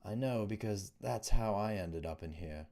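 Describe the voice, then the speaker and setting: Man acting male fantasy prisoner, hushed whisper, smooth and light, confiding, resigned, audiobook character. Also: on the low side, normal speed.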